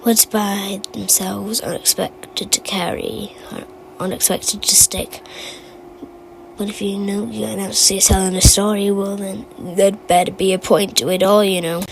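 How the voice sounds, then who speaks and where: A woman acts out a forgetful storyteller from a game, rambling in a mid-pitched voice full of creak. The delivery halts, stammers and drifts off on tangents. It is an amateur recording with clear noise behind it. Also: level tone, poor audio. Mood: musing. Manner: halting, digressive, stammering. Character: rambling, forgetful storyteller who muses about odd small memories. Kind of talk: fan recital of game character voice lines.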